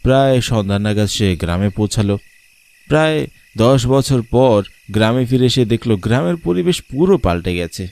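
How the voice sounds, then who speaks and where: A man's voice: medium pitch, lively intonation, moderate pace, gravelly, a little mellow, no room sound. A man narrating a scripted cartoon horror story. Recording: background music, night insects.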